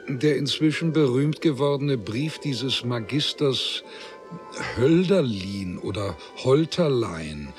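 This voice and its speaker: Pensive, slow male radio drama narrator. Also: mid-range voice, lively intonation, faint music behind. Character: pensive male narrator weighing a dead man's story.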